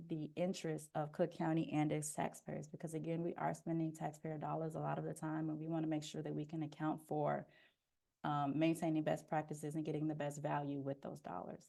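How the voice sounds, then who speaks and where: A soft-spoken woman walks viewers through an informational webinar. Her voice sits a bit low and carries a gravelly fry. She explains steadily with plenty of "um"s, speaking through a headphone mic on a video call. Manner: explanatory, steady, with frequent "um" fillers. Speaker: deputy procurement officer presenting a webinar.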